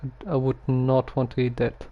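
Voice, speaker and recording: A man giving casual live gameplay commentary, haltingly muttering to himself as he plays. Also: medium pitch, monotone, highs cut off. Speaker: game streamer.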